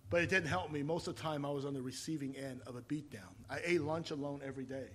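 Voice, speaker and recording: A man in his fifties, from Taiwan, preaching a sermon and telling his own story, rising to loud emphatic points and falling back to quiet, reflective storytelling. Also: a little high, fast talker, a little hiss or hum. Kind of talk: sermon with personal testimony.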